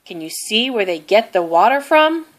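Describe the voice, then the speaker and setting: A woman narrating a children's storybook aloud in an expressive, deliberate way. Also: mid-range voice. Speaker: storybook reader.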